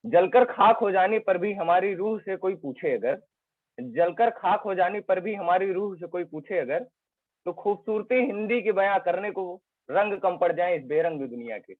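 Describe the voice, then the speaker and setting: A man with a fairly high voice recites verse formally, repeating key lines for emphasis. His sound is dry and thin, like a phone line on a video call. The delivery is steady and declamatory. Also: dry recording. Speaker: poetry recital participant. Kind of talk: recited Hindi poem. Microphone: video call mic. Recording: tinny phone-like call audio.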